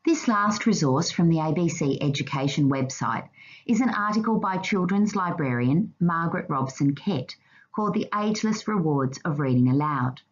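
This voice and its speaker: A woman narrating a scripted slide presentation in a formal, measured reading manner. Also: sits low, animated, husky, lo-fi sound.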